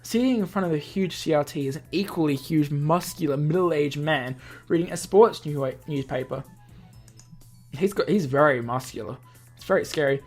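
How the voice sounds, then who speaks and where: A man's voice: fairly high pitch, moderate pace, a little mellow, soft background music. A man reading a visual novel aloud as a let's player, stumbling over lines and adding casual explanatory asides. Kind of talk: game narration with casual asides.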